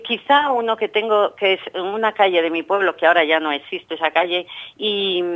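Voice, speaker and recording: A woman's voice: lowish voice, a bit bright. Hesitant woman on a phone line. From Spain, Castile. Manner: hesitant, many fillers and restarts, light laughs. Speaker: painter interviewed as guest. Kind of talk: phone interview on radio.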